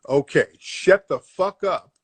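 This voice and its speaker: A man's voice: on the high side, animated, slow, husky. A man hosting a live call-in room, grilling callers in an aggressive, profane, repetitive way. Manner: aggressive, interrogating, profane, repeats himself. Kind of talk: confrontational live-stream comedy bit.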